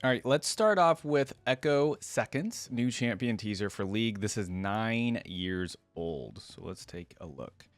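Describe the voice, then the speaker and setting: A man's voice: fairly low pitch, animated, unhurried, hardly any pauses, no echo. A man giving casual reaction commentary, informally thinking aloud as he hosts. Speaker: reaction video host.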